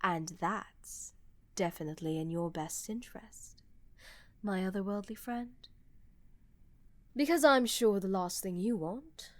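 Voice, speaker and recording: A woman playing a smug, condescending older empress in a fantasy audio roleplay, with measured, deliberate delivery. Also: medium pitch, close to an everyday voice. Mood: proud, persuasive. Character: haughty, older, condescending female ruler of a vast empire.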